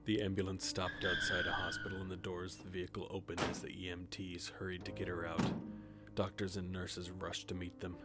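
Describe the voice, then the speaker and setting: Man acting very deep, solemn grim reaper. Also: monotone, fairly quick. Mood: detached. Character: grim reaper narrator, centuries old, detached, puzzled. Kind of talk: first-person audio drama narration. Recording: music behind the voice. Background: music with guitar.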